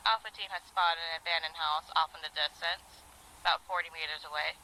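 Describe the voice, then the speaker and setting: A woman's voice: deep voice, steady tone, fairly quick, pushed and bright, low-quality recording. A woman playing a commanding, no-nonsense pony squad leader in an analog horror drama, giving terse military orders through a radio filter. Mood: commanding, alert. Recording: harsh hissy radio transmission.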